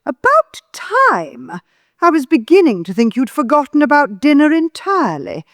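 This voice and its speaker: An actress playing an imperious older mother-in-law in an audio drama, clipped, sharp and full of disapproval. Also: sits high, huge pitch swings, normal speed, dry recording, clean studio sound, full character voice. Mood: sharp, irritated.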